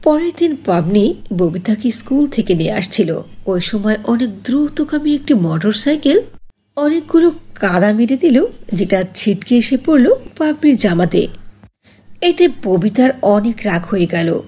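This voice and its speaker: A woman's voice: a little high, sing-song, unhurried, dark tone, slightly rough. A woman narrator reading a scripted storybook tale for a cartoon.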